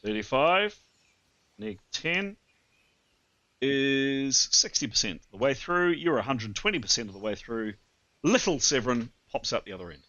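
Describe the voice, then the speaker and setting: A man running a tabletop game as game master, thinking out loud and correcting himself as he goes. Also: mid-range voice, sing-song, normal speed, dry recording, muffled recording. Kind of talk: casual tabletop game narration and adjudication.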